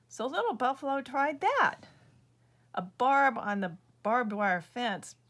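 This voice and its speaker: A woman reading her own children's book aloud as an expressive storyteller. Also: on the high side, slow.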